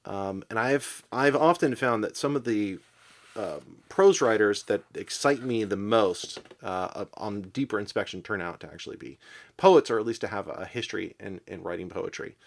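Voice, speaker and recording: A man presenting a literary podcast in an unscripted, thoughtful way, halting as he searches for his words. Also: lowish voice, vocal fry. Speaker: podcast presenter and poetry reader. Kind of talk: casual literary commentary, unscripted.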